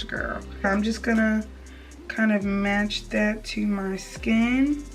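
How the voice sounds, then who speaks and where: A young woman beauty vlogger talking casually and informally to her viewers in a slangy, chatty tutorial style. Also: mid-range voice. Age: young adult. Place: small indoor room with plain wall. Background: background music.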